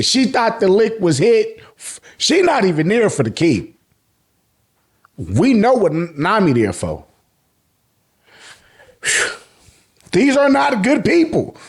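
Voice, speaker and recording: An American man hosting a casual, slangy recap, telling the story colloquially and acting out reactions. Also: sits high. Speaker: YouTube host recapping manga.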